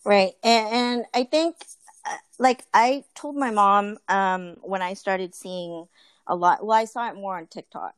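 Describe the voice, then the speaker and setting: A woman's voice: mid-range voice, unhurried, no echo. A woman in a casual group conversation, talking earnestly with many fillers and restarts. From Filipino family.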